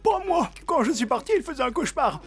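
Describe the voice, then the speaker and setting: An actor voicing a naive, excitable and loyal young-sounding male boar in a French children's cartoon. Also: high-pitched, quick pace. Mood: anxious, earnest. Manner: excitable, earnest. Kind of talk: French animated children's comedy. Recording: music behind the voice.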